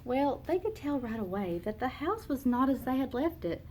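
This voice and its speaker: Lady teacher doing a storybook read-aloud for little kids, kinda high voice, slow and expressive. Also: a little hiss or hum. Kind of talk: children's book read-aloud.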